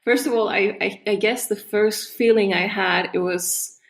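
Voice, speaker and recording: A woman's voice: medium pitch, a little slow, vocal fry. Chatty woman from Chile. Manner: animated, restarts, laughs often. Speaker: guest artist. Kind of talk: casual interview chat.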